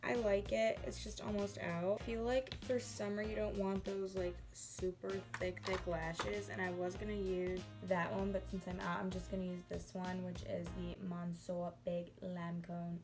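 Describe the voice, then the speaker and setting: A woman's voice: on the low side, little pitch movement, normal speed, hardly any pauses, over music. Chatty woman rambling through a makeup tutorial. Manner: chatty and rambling, laughs at herself. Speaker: beauty vlogger.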